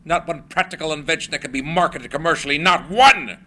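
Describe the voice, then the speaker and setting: A man's voice: a little high, fairly quick, bright, forceful tone. A man playing a scornful, rich financier, curt and haughty, in a dramatic screenplay reading. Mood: angry, scornful. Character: imperious, scornful, rich male financier, hard-nosed businessman.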